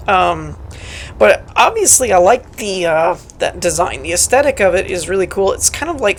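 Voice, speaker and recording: A woman with a hoarse, raspy voice from a cold, giving casual, rambling gameplay walkthrough commentary as host of her channel, with frequent ums and self-deprecating jokes. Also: mid-range voice, slight background noise.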